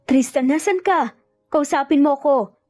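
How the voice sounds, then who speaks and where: A woman's voice: high-pitched, unhurried, a little mellow, soft background music, pitched above the performer's own voice. A woman voicing a haughty, jealous rich young woman in a romance audio drama, demanding and indignant.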